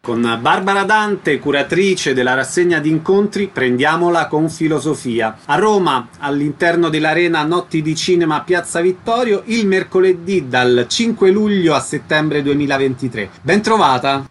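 A man's voice: a little high, animated, moderate pace, hardly any pauses, a bit bright, soft background music. A man hosting an arts and culture radio interview, asking the questions.

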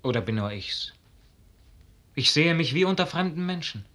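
A man's voice: on the high side, animated, normal speed, pushed and bright. An actor playing a lovesick, earnest young nobleman with a yearning, lyrical delivery in a 1950s radio drama. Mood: anguished longing. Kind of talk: 1950s German radio play acting.

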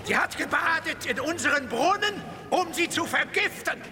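Very high-pitched, solemn witch-trial accuser. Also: animated, moderate pace. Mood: solemn, condemning. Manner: solemn, declamatory. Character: stern accuser proclaiming a witch's death sentence. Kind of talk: radio drama acting. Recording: loud music and crowd behind.

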